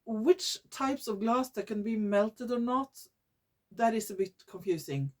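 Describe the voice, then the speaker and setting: A woman from Norway with a Norwegian accent, hosting her own vlog in English, which is not her first language, often pausing to search for words and restarting her sentences. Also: on the high side, lively intonation. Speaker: YouTube channel host. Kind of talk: casual vlog monologue.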